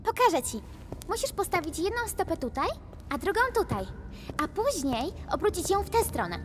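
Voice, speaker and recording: A woman's voice: extremely high pitch, sing-song, moderate pace, over music. A woman voicing a bubbly, bossy, mischievous young cat in a cartoon dub, perky and insistent. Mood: eager, bossy. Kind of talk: animated cartoon dub acting.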